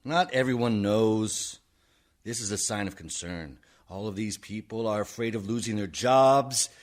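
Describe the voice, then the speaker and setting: A man's voice: medium pitch, lightly acted. An actor playing a desperate, outraged man delivering a declamatory monologue in a radio comedy. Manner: declamatory, building from controlled to shouting. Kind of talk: theatrical radio-play monologue.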